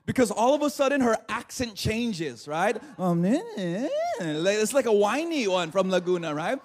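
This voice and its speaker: Man, 30s, pastor; high voice, casual sermon, lots of "right?". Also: animated, moderate pace, crowd noise. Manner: conversational, asks "right?" often, laughs easily. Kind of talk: livestreamed sermon.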